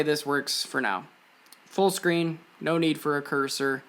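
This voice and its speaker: A man with a voice pitched a little higher than average presents a technical tutorial. He talks informally and offhandedly, walking through code one step at a time as a casual explainer. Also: a bit bright. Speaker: presenter of an electronics and coding tutorial. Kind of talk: casual screen-share code walkthrough.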